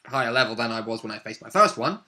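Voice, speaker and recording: A man with a sharp, very bright voice in the middle range chats about a tabletop game. He talks informally as one of the players, chipping in with conversational interjections. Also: medium pitch, animated, fast talker. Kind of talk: casual chat about game play.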